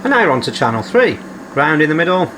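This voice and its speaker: Bright-voiced man narrating a repair. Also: on the high side, big pitch swings, quick pace, slight background noise, poor audio. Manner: methodical, reads out measurements as he works. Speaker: repair video host. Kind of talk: calm narrated workshop repair. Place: home workshop.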